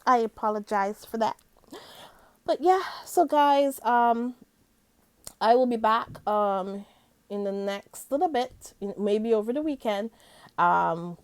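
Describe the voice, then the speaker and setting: A woman's voice: mid-range voice, no room sound. A woman hosting a commentary channel, chatting casually and informally, rambling along as she speaks directly to her viewers.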